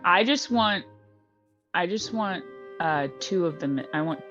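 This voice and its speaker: A woman's voice: fairly low pitch, expressive, unhurried, fairly bright tone. A woman playing a tabletop fantasy game, talking in casual, chatty speech. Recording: dull lo-fi voice channel, music underneath.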